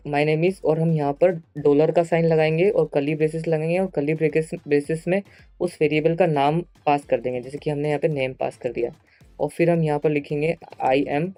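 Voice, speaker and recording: An Indian man gives step-by-step tutorial narration in a mid-pitched, fairly flat voice. He explains as an instructor would, repeating key phrases. The sound is dry and close, with faint music behind him. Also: dry recording. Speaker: programming instructor.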